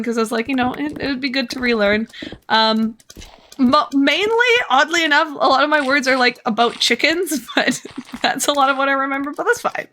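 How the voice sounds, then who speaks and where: A woman's voice: high voice, bright, forceful tone, slight background noise. An American woman chatting casually on a live stream, rambling and hesitant with many restarts.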